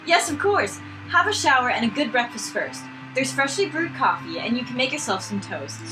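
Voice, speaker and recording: A woman voicing a brisk, motherly, teasing florist in a visual novel. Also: a little high, big pitch swings, over music, low-quality recording. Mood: reassuring.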